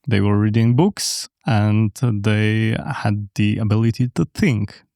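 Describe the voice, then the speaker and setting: A man's voice: fairly low pitch, slow, vocal fry, a little mellow, no room sound, clean studio sound. A man whose first language is Polish, hosting a podcast and interviewing guests with brief questions and mm-hmms. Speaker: podcast host.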